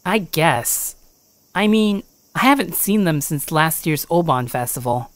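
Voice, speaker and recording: A woman's voice: sits low, expressive, a little slow, no room sound. A woman voicing a bored, whiny fourteen-year-old boy in a scripted audio drama.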